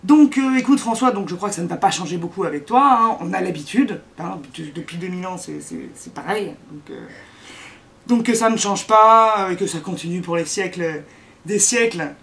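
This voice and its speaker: A man hosting a satirical comedy monologue, sarcastic and mocking, with a halting delivery full of restarts. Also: sits high, a bit bright.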